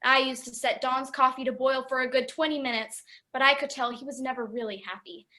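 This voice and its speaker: Woman playing a bright, high-voiced confiding housewife. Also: steady tone, fairly quick. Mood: confiding, smug. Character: confiding, self-assured housewife friend, sweetly patronizing. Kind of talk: 1950s-style radio commercial acting.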